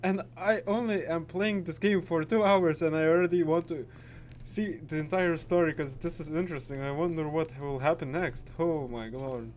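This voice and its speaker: A man giving casual gameplay reactions as a video host, speaking in a halting, informal, sweary way. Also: a little high, a little mellow, a little hiss or hum.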